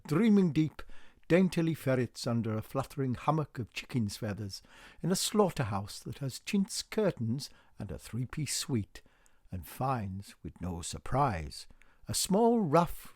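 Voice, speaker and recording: A man narrates a poetic radio play in a theatrical style. He is slow and deliberate, with a mid-range voice and very animated intonation. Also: mid-range voice, no echo, studio quality. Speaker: narrator, solo reader. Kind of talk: dramatic poetic scripted narration.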